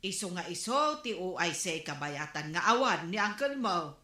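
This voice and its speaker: A woman's voice: lowish voice, big pitch swings, normal speed, bright, forceful tone, echoey room. An actress playing a stern mother who scolds and nags in a comedic drama. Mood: scolding. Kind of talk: comedic radio drama acting.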